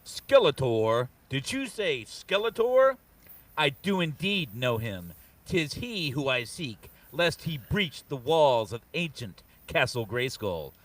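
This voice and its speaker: A man's voice: mid-range voice, very animated, slow pace, a bit bright, dry recording, far from the mic. A man playing an earnest, mighty warrior hero, declaiming in archaic phrases at a comic book table read. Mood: earnest resolve. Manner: declamatory, archaic heroic diction. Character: noble, earnest muscle-bound barbarian hero, strongest man around.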